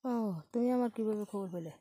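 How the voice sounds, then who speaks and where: A woman's voice: mid-range voice, quick pace, mellow, lightly acted. A woman with a Bangladeshi accent playing a brash, persuasive city woman, chatting casually in a staged phone-call audio story. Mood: casual, curious. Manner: casual, matter-of-fact, coaxing.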